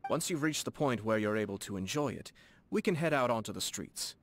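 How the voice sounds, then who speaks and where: An actor playing a courteous, composed young nobleman in a video game, speaking formally and softly with a gently teasing manner. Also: medium pitch, lively intonation, slight background noise, lightly acted. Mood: gentle, playful. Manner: formal, measured, politely playful. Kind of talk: video game character dialogue. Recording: studio voice over game music. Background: game background music.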